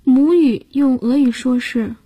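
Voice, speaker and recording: A woman's voice: high voice, takes it slowly, dark tone, slightly rough. A woman hosting a radio language lesson, patiently explaining grammar and guiding listeners step by step. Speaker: language teacher and host.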